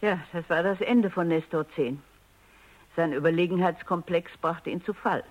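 A woman's voice: on the low side, moderate pace, natural voice, poor audio. An actress plays a self-assured woman scientist in a radio play. She speaks with analytical precision, phrasing each thought like an expert. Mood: composed, explanatory. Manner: precise, lecturing, composed. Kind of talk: German radio drama acting.

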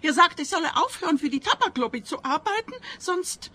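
A feisty, theatrical mother in a radio comedy. Her voice is high and swoops widely, with a brisk, dismissive edge. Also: animated, sharp tone, heavily acted. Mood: dramatic, accusing. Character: feisty, dramatic mother of a grown daughter. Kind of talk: comic radio play acting.

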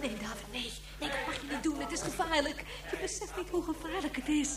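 Anxious protective woman, high lively voice, radio drama, loud crowd behind. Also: brisk. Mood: anxious, urgent.

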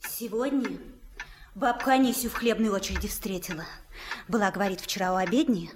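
An actress playing a homely, brisk mother in a Russian radio drama, on a noisy old recording. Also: mid-range voice, close to an everyday voice. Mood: gossipy.

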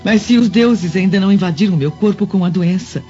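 A woman's voice: medium pitch, fairly quick, warm tone, natural voice. An actress playing a dignified, protective Roman mother in a radio drama, earnest and emotive, with a Brazilian accent. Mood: firm, indignant. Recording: dull lo-fi audio with music underneath.

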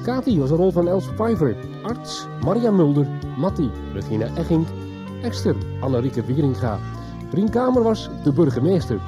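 A man's voice: fairly high pitch, big pitch swings, moderate pace. A man reading scripted closing credits as a radio soap announcer. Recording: music behind the voice.

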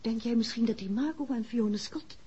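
An actress playing a curious, opinionated detective's wife in a 1960s Dutch radio play, questioning and assertive, with a Netherlands accent. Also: female voice, a little high, fairly quick, dark tone, husky. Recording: muffled, noisy 1960s radio recording.

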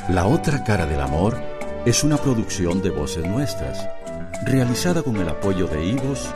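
A male announcer delivers scripted radio credits. His voice is deep, with animated swings in pitch, over a loud music bed. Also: normal speed.

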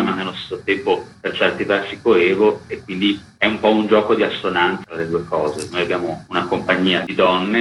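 A low-voiced man speaks as a theatre dramaturge in an interview. His tone is bright and forward, and he explains reflectively. The room echoes around him. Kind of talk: interview talk. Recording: roomy echo, faint background noise.

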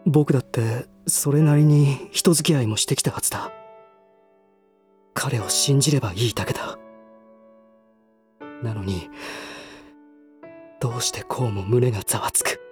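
An actor playing a troubled, reserved young gentleman in a voice drama, hesitant, introspective and formal in manner. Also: medium pitch, moderate pace, pauses often, music in the background, lightly acted. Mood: anxious, brooding. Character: troubled, reserved young gentleman brooding over his half-brother. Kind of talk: Japanese voice drama acting with inner monologue.

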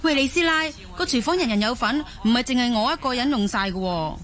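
A woman's voice: on the high side, animated, brisk, fairly bright tone. A woman acting a defensive, argumentative mother from the mainland in a Cantonese radio drama. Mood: offended. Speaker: voice actor in an audio drama.

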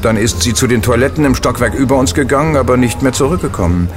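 An actor playing a chatty, superstitious casino gambler who gives knowing warnings in an audio drama. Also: male voice, mid-range voice, quick pace, music in the background, close to an everyday voice. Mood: casual, friendly. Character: easygoing, superstitious casino gambler, male, worldly regular. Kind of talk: German audio drama dialogue.

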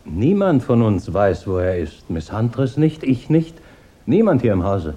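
An actor playing a stern, indignant wealthy client in a German radio drama, imperious and reproachful. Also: male voice, fairly low pitch, very animated, unhurried, mellow, breathy edge, heavily acted.

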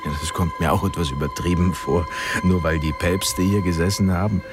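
Man acting a deep-voiced, learned older sceptic. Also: fairly even intonation, fairly quick, over loud music, natural voice. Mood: wry, sceptical. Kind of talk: radio play acting.

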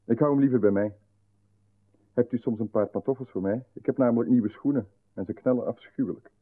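An actor playing an intrusive, oddly demanding male visitor, calm and coolly insistent. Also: medium pitch, fairly quick, natural voice. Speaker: radio drama actor. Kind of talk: 1990s radio play acting. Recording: tinny narrow-band radio sound.

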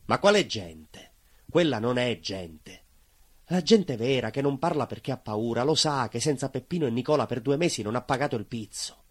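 An actor playing a bitter, obsessive southern Italian baker in a theatrical monologue, husky and emphatic, repeating himself and dropping low. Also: male voice, animated, normal speed, no room sound. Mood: bitter, defiant. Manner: emphatic repetitions, dropping to near whispers.

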